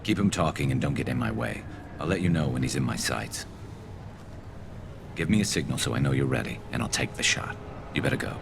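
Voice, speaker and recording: A grim alien vigilante for a video game, with an extremely deep voice full of gravel and a metallic edge. He is hard, terse and brooding, set on revenge. A steady ambient rumble runs behind him. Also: brisk, long pauses, exaggerated voice. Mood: focused. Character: vengeful, grim alien soldier turned vigilante sniper. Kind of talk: dramatic video game acting.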